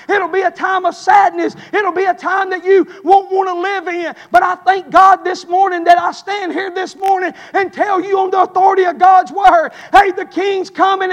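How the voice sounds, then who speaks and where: A male preacher with a Southern US accent delivering an impassioned evangelical sermon, punctuating it with exclamations and repeated phrases. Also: very high voice. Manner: exclamatory, repetitive, with frequent "hey" interjections and calls for amens.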